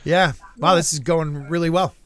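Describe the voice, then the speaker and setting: High-voiced man rambling on a live stream. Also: fairly even intonation, normal speed, a bit bright. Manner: rambling, joking, lots of fillers and restarts. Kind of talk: casual chat during a live stream.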